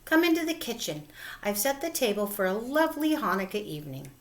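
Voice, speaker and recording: A woman voicing a kindly, fussing elderly woman with a bright, chirpy manner. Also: fairly high pitch, sing-song, brisk, voice pushed up. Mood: cheerful.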